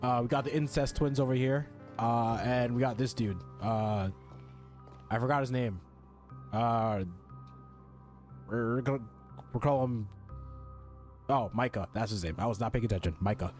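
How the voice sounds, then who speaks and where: Male gamer, mid-pitched, offhand and blunt, loose playthrough commentary with faint music. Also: moderate pace, pauses often, a little mellow. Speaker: gamer playing through a story game. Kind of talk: casual game commentary.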